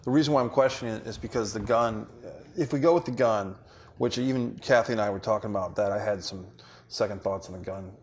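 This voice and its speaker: A man directing actors, giving feedback in deliberate, hesitant phrases in a studio. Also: lowish voice. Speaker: film director. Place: indoor studio.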